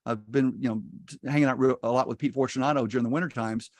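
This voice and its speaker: A man's voice: medium pitch, fairly even intonation, fast talker, a little mellow, no echo. A middle-aged man, a native Spanish speaker, teaching real estate investing in a folksy, upbeat way over a video call.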